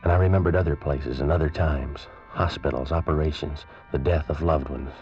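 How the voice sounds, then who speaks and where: A man narrating a tense story in the first person in 1940s radio drama style, slow and suspenseful. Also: a bass voice, fairly even intonation. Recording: dull old radio recording with music.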